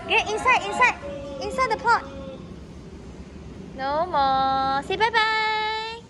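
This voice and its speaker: A woman's voice: very high voice, expressive, slow pace, heavy background noise. A woman with a Singaporean English accent, a parent filming and coaxing her toddler at an indoor gym, cheering and laughing often. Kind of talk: casual encouragement to a child. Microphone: phone camera held by the filmer. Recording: loud music and crowd chatter behind.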